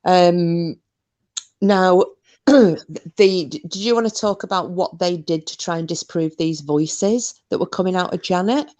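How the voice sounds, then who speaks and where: A woman's voice: fairly low pitch, measured pace, a little mellow. A woman co-hosting a podcast, chatting casually about a paranormal case, with lots of fillers and restarts. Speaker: podcast co-host.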